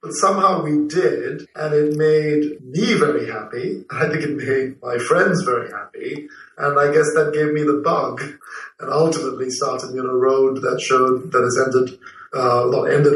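A man in his forties from Malaysia and London, a guest telling stories in a reflective way with many pauses and fillers. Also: medium pitch. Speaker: guest, TV producer and writer. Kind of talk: relaxed interview answers. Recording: roomy, distant from the mic.

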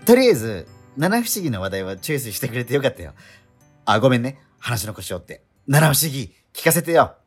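A man plays a curious teenage boy, new at school, in a radio drama. He is polite and quick to apologise, with puzzled little interjections. His pitch moves about a lot, and music plays faintly behind him. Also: sing-song, breathy edge. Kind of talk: conversational radio drama acting.

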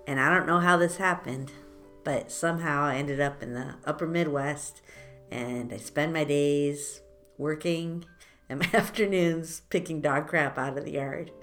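A woman with a low voice hosts a casual podcast, telling stories about her childhood in a wry, self-mocking way. She laughs easily and talks like she's chatting with a friend. Faint music plays behind her. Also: measured pace, fairly bright tone. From born in Italy, grew up in France. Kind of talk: casual storytelling chat.

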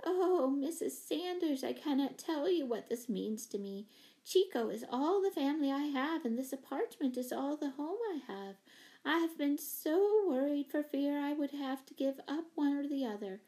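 Woman playing a high-pitched, fluttery anxious spinster. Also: lively intonation, moderate pace, warm tone. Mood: grateful, earnest. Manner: gushing, flustered, distracted. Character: fluttery, anxious, apologetic older spinster neighbour with pet monkey. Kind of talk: children's storybook character voice.

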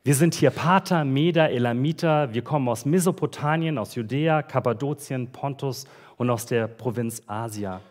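A man delivering a sermon at a church service, talking in an easygoing, conversational way and dropping casual slang between the Bible readings. His voice sits at an ordinary middle pitch. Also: normal speed, few pauses. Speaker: pastor.

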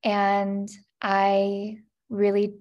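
A woman's voice: mid-range voice, completely flat delivery, drawn-out words, a little mellow, lo-fi sound. A woman in her thirties, a podcast guest, sharing a personal story in an earnest, reflective way, with many "you know" and "like" fillers.

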